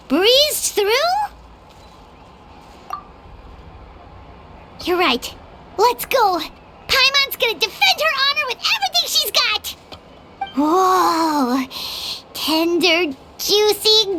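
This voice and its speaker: Tiny female companion creature, whiny, very high and bouncy, slow-spoken, game cutscene. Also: background noise, exaggerated voice. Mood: eager. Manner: talks about herself in the third person, whiny. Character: tiny female floating companion, chatty and greedy.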